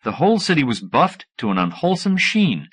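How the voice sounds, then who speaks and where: A man's voice: fairly high pitch, big pitch swings, fairly quick, a bit bright, husky. A man reads scripted fiction as an audiobook narrator, shifting into character voices. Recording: 1980s audiobook cassette recording.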